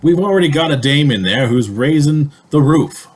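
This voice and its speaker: Radio-play cop, gruff and bossy, raspy voice with very lively intonation man acting. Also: on the high side, normal speed. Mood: gruff, wary. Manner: barking, blunt, colloquial. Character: gruff, bossy police sergeant, tough and plain-spoken. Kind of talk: amateur old-time radio comedy acting.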